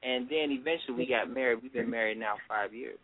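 A middle-aged man from Washington, D.C., a guest musician telling his story in a relaxed, rambling way. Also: mid-range voice, fast. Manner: relaxed, rambling, many fillers. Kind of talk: casual interview. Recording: phone-like line.

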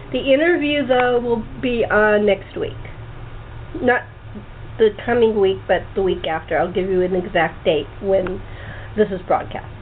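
A woman hosting a casual interview chat, talking in a chatty, jokey way. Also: fairly high pitch. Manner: chatty, jokey, self-described sarcastic. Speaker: host and radio playwright. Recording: noisy, tinny phone-line audio.

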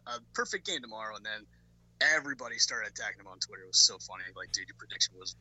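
A man guesting on a sports podcast, chatting casually and telling quick stories. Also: fairly low pitch, animated, no echo, low-quality recording. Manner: quick, bright, chatty storyteller.

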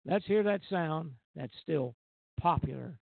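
A man with a fairly high, gravelly voice hosts a music show, reading background notes aloud. His delivery is halting, with small stumbles over the text. The sound is thin and narrow like a phone call, with very little room around it. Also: animated, no room sound. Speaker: radio show host.

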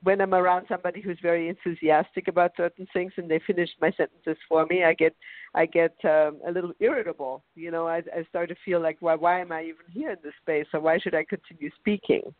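A woman's voice: on the low side, steady tone, no room sound. A woman whose first language is German hosts a radio conversation, taking her time over each phrase. Her voice comes through a narrow, tinny phone line with a dry, close sound. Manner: slow, deliberate speech. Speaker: radio show host. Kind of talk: conversational interview. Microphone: phone call.

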